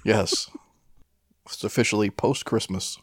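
A man's voice: on the low side, steady tone, unhurried, dry recording, studio quality. A man co-hosting a podcast in casual comic banter, with a profane, wry manner. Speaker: podcast co-host.

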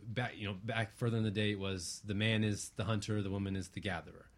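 A man's voice: fairly low pitch, level tone, fast talker. A man speaking as an interview guest in a reflective, earnest way, using abstract spiritual phrasing and often checking for agreement.

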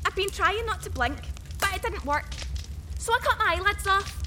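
A woman's voice: very high voice, lively intonation, background noise. A woman playing a gleefully sadistic, unhinged young woman in a horror radio play, taunting and gloating as she draws out her words. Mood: gloating menace. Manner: taunting, gloating, drawn-out delivery. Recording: dramatic music behind.

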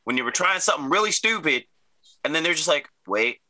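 Host guy with a really bright edgy voice, easygoing, agrees a lot. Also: fairly high pitch, expressive. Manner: agreeable, quick affirmations, rambling. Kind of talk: casual chat.

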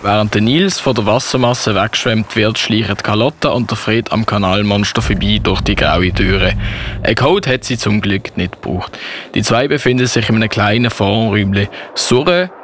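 A man's voice: lowish voice, moderate pace, noisy background. A man narrating a scripted Christmas radio play as a storyteller. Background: music.

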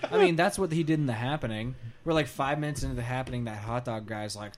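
A man's voice: medium pitch, fast. A man co-hosting a podcast, chatting casually with joking, occasionally sweary banter. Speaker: pop culture podcast co-host. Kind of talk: casual group chat.

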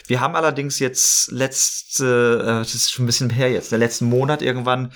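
A man's voice: mid-range voice, fairly even intonation, normal speed, fairly bright tone. Casual man as an interview guest. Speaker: guest, improv player in a role-playing podcast.

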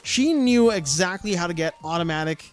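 A young man chatting casually on a podcast, an animated storyteller full of restarts and "like" fillers. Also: high voice, moderate pace, soft background music.

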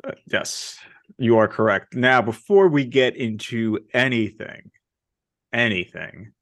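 A young man hosting a casual sports podcast, talking eagerly and telling stories with excitement. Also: medium pitch, slow, dry recording, studio quality. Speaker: podcast host.

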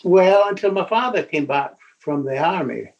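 An older man from Scotland, a guest telling family stories over a video call, speaking in a halting way with many restarts. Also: high voice, lively intonation, muffled recording.

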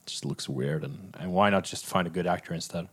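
A man with a fairly low voice talks film as a podcast co-host. His delivery is casual and slangy, and he states his opinions bluntly in everyday conversational language. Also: fairly quick, fairly bright tone.

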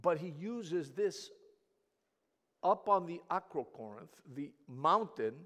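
A man with a Greek accent, a native Greek speaker, preaching a sermon in a church, swinging from quiet asides to loud emphatic peaks and often repeating words as he restarts. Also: on the high side, expressive, takes it slowly, in a large hall. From Greece.

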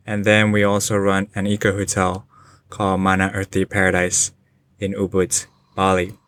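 Even-toned man in his forties from Japan. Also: low voice, normal speed, a little hiss or hum. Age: 40s. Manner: thoughtful, many "uh" fillers. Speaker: guest. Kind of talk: casual interview conversation. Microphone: remote online call.

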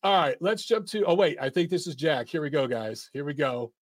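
A man with a fairly high voice hosts a relaxed boxing talk stream. He talks loosely and easily, reading out viewer comments. The sound is dry and close, with no room echo. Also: brisk. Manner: casual, reads viewer super chats aloud. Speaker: boxing show host.

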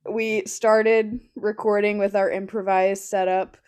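A young woman co-hosting a casual podcast, talking loudly with frequent swearing and laughter into an improvised mic. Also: medium pitch, little pitch movement, a little slow, smooth. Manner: loud, swearing, laughing often. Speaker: podcast co-host. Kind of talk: casual chat. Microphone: improvised mic held between her legs.

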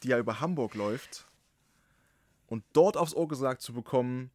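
Podcast host, man, slight Saxon accent, emphatic and animated, medium pitch, dry close mic. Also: moderate pace, no room sound. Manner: animated, emphatic, swears casually. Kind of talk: casual podcast chat.

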